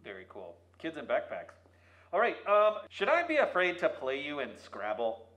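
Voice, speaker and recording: A man with a high, very animated voice hosts a playful interview. He is jokey and projects through a megaphone across an echoey room. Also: normal speed, highs cut off. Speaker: host, museum staff.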